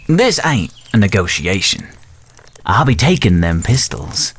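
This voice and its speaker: Very deep cocky outlaw played by a man. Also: big pitch swings, measured pace, fairly bright tone, a little hiss or hum. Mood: threatening. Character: cocky, menacing Old West robber. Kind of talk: western audio drama acting.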